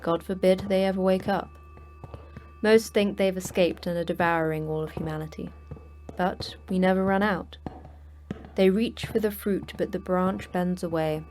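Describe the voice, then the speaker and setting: An actress playing the terse, dry manager of a secret prison for monsters in a sci-fi horror audio drama, speaking in a clipped, matter-of-fact way. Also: female voice, on the low side, fairly even intonation, moderate pace, darkish tone, soft background music, close to an everyday voice. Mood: dry, grim.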